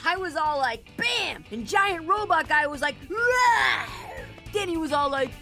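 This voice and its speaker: A woman voicing a brash, boastful young boy hero in an animated video game. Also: extremely high pitch, unhurried, faint music behind, full character voice. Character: cocky, excitable boy hero.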